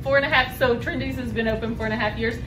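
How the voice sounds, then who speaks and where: A woman's voice: on the high side, steady tone, very fast. An American woman in her thirties hosting an upbeat promotional interview with gushing enthusiasm. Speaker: host, realtor. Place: indoors at a restaurant bar. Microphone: camera a few feet away. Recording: distant mic, music behind. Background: music.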